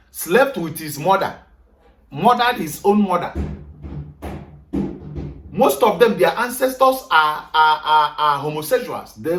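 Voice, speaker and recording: Man, Nigerian Igbo accent, emphatic lecturing, fairly high voice, echoey room, distant mic. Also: a bit bright. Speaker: speaker on culture. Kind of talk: lecture-style talk to a group.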